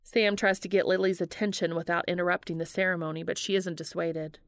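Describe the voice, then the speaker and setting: A woman's voice: fairly low pitch, level tone, fairly quick, no room sound, studio quality. A woman reading scripted fiction aloud as an audiobook narrator. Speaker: fan fiction audiobook reader.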